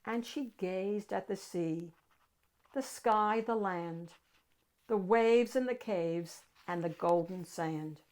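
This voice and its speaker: A woman's voice: mid-range voice, takes it slowly, a little mellow. A woman reading a rhyming children's picture book aloud expressively, stretching key words for emphasis.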